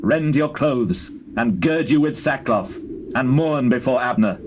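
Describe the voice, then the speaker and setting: A man's voice: mid-range voice, lively intonation, unhurried, close to an everyday voice. A man playing a grave, commanding king in a dramatized Bible reading, with weighty, declamatory delivery. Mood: mournful. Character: King David, solemn, grave, commanding male monarch. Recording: noisy old cassette tape transfer.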